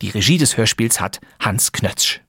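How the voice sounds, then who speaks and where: A man's voice: fairly low pitch, expressive, moderate pace, sharp tone, slightly rough, no echo, studio quality. A man reading a scripted introduction to a radio play as its host. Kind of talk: scripted narration.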